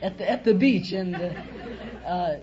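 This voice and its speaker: A fourteen-year-old American boy with a breaking voice, answering haltingly as a quiz contestant. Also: sits high, dark tone, a faint crowd. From Los Angeles, United States. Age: 14, teenage boy. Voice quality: breaking adolescent voice. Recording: dull vintage radio, noisy, studio audience.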